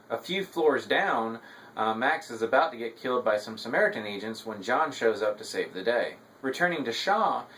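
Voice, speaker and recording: A man narrating an episode recap to an audience as a reviewer, with a halting delivery full of ums. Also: medium pitch, a bit bright, a little hiss or hum, poor audio.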